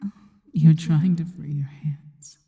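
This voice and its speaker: A woman voicing a seductive, possessive yet devoted female spider monster in an ASMR roleplay, mostly in a slow, breathy, teasing whisper. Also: deep voice, fairly even intonation, mellow. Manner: slow, teasing, intimate delivery. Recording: long reverberant tail on the voice.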